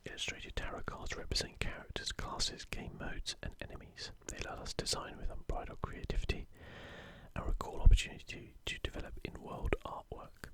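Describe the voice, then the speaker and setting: Whispered voice, ASMR commentary, unvoiced and breathy, halting and musing, unscripted host.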